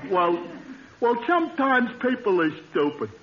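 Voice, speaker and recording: An actor playing a dim-witted, good-natured barfly, hesitant and oblivious, in 1940s radio comedy style. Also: male voice, high voice, very animated, a little slow. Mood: cheerfully oblivious. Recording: muffled old radio recording, studio audience.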